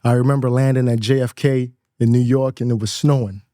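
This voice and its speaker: A man's voice: medium pitch, steady tone, fairly quick, mellow, no echo. A man in his thirties from Nigeria, a guest in a studio interview, speaking in a reflective way, laughing often and repeating words as he thinks. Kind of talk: casual interview chat.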